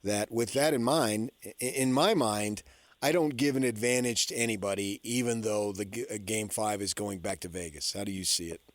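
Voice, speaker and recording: A man's voice: lowish voice, moderate pace, no room sound. A man hosting sports radio, talking informally and arguing his points in short conversational phrases.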